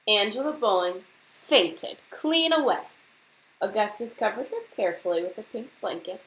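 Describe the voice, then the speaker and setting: A woman reading a children's storybook aloud like a teacher to a class, expressively and deliberately, putting questions straight to her young listeners. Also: medium pitch, animated, fairly bright tone, low-quality recording.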